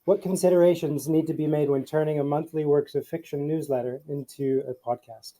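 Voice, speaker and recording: A man casually hosting an online conference session, halting but appreciative and supportive as he moderates. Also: on the high side, steady tone, warm tone, rough recording.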